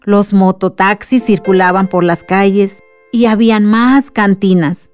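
An actress with a Mexican accent playing a thoughtful village aunt, narrating earnestly in a radio drama. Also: female voice, mid-range voice, fairly even intonation, a little slow, a little mellow, smooth, natural voice. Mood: earnest, reflective. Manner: earnest, storytelling. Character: thoughtful village aunt recounting the town's past. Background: music.

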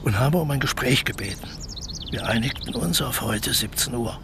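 An actor playing a smooth-talking, scheming crook in a radio play, persuasive and cocky as he explains his plans. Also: mid-range voice, normal speed, background noise, lightly acted. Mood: sly, confident. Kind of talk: naturalistic German radio play dialogue.

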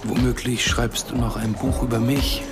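A man's voice: mid-range voice, flat delivery, moderate pace, close to an everyday voice. An actor playing a melancholy, sardonic Palestinian father in a radio drama, speaking in a weary, understated way. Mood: wry. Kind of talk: radio drama dialogue and narration. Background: music under the voice.

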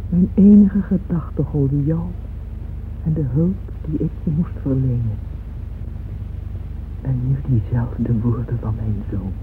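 An anguished, slow-spoken mother on tinny radio. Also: very deep voice. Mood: remorseful. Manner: solemn, slow, intensely emotional delivery with whispers. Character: anguished, remorseful mother confessing to a former lover. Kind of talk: dramatic radio play monologue. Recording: tinny, noisy old radio drama recording.

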